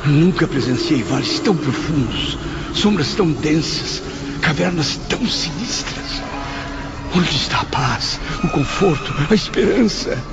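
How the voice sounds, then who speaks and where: A man's voice: fairly high pitch, animated, measured pace, loud music behind the voice. An actor playing a despairing, lost spirit of a man in a radio drama, with a theatrical, lamenting delivery. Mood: horrified lament. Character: anguished, despairing suicide's spirit, lost in a dark afterlife. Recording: dull lo-fi radio drama audio.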